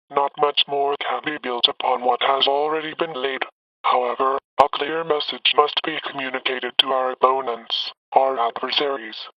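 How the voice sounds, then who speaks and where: A man's voice: medium pitch, no room sound, distant mic, close to an everyday voice. A synthetic man's voice playing a grave American president giving a formal, stilted address to the nation. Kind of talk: formal scripted political address. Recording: dull lo-fi radio-like broadcast.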